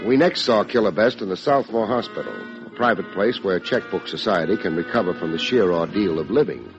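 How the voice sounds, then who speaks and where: An actor playing a world-weary, sardonic private detective in an old-time radio drama, delivering wry, deadpan wisecracks and narration. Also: male voice, sits low, brisk. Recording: dull old radio recording, music behind.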